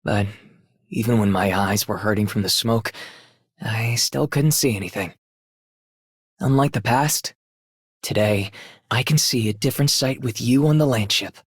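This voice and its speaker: A man playing a wistful, gentle character in scripted video game voice lines. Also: fairly low pitch, unhurried, pauses often, slightly rough, no room sound, studio quality.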